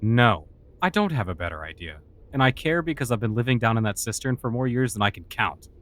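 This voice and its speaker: A man's voice: mid-range voice, lively intonation, fairly quick, fairly bright tone, natural voice. A man playing a cynical, sarcastic human outcast in a fantasy audio drama. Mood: irritated. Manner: sarcastic, mocking.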